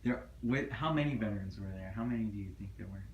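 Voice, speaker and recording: A man's voice: fairly low pitch, quick pace. A man interviewing a relative, asking short prompting questions in an oral history interview. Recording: dull echoey room, faint noise.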